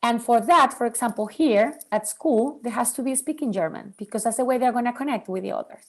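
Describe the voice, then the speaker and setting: Earnest Venezuelan-born woman, fairly high voice. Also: a little fast. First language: Spanish. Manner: earnest, animated, acts out her children's voices. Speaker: podcast guest, bilingual parenting expert. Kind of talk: interview conversation.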